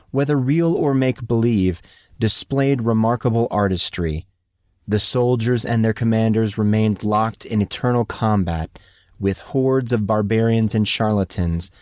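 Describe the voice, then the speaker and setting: A man's voice: on the low side, darkish tone, no room sound, clean studio sound. A man reading scripted fantasy fiction as an audiobook narrator.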